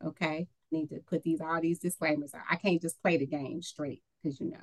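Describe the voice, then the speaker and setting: Woman podcast co-host, lowish voice, playful and joking, dry close mic. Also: steady tone, fairly quick, dry recording. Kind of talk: conversational podcast chat.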